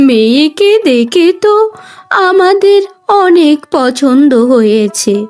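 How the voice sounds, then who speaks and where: Woman playing a slow-talking, proud mother-in-law. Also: high-pitched, a little mellow. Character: proud, courteous middle-aged mother of the groom. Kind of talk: Bengali cartoon story dubbing. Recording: music bed under the voice.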